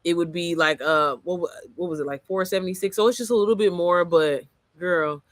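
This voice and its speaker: A young adult woman coach with a low, manly-sounding voice chats loosely and swears freely as she clicks through a course on screen. She keeps calling the listener girl and baby. The close, dry recording has almost no room sound. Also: fairly quick, no echo. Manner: chatty, profane, calls the viewer girl and baby. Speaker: online business coach. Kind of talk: casual screen-share walkthrough.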